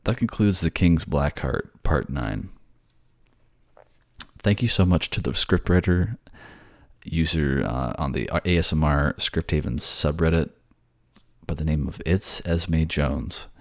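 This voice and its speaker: Casting: male voice actor, rock-bottom bass, soft-spoken narrator delivery, dry close sound. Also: a little slow, dry recording. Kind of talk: soft-spoken story narration and casual outro.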